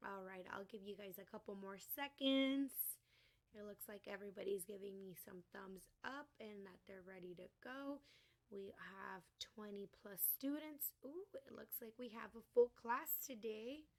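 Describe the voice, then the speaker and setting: A woman teaching an online class to small children, her voice going hoarse, with a sing-song, encouraging manner. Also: medium pitch, a little slow. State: losing her voice. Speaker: kindergarten teacher.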